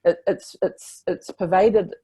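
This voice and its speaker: A woman voice teacher talking in a reflective, spiritual way in a relaxed conversation, sometimes showing high and loud notes as she talks. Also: slow pace, breathy edge. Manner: reflective, spiritual, demonstrates pitch while talking.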